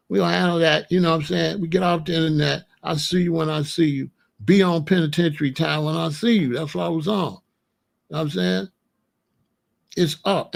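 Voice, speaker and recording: Man, gravelly voice, slightly high, blunt, slangy, repetitive, casual commentary monologue. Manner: repetitive, keeps asking you know what I'm saying. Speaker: commentary channel host.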